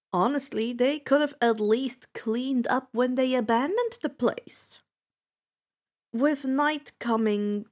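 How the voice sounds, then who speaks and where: A woman hosting her own channel and reading fan fiction aloud as an expressive, theatrical amateur audiobook narrator. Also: fairly high pitch. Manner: theatrical, acts out dialogue.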